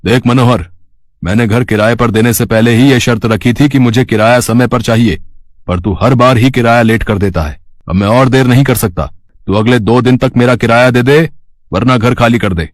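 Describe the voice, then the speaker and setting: A man's voice: medium pitch, moderate pace, no room sound, natural voice. A man voicing a stern, impatient landlord in a cartoon story, curt and scolding. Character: stern, impatient male landlord demanding rent.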